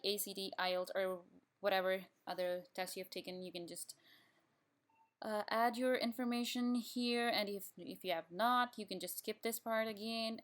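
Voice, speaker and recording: A woman's voice: mid-range voice, a bit bright. Young woman walking through a form. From Pakistan. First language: Urdu. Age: young adult. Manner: plain step-by-step instructions with frequent restarts. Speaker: student explaining an application form. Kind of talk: screen-recorded tutorial walkthrough.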